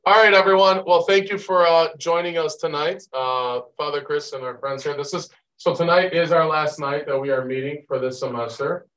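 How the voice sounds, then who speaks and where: A man's voice: a little high, fairly even intonation, normal speed, few pauses, a bit bright. A man leading a group study, talking informally and rambling with plenty of asides. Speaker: Bible study leader. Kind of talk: casual group teaching and chat. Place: meeting room with long conference table. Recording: roomy, picked up from a distance.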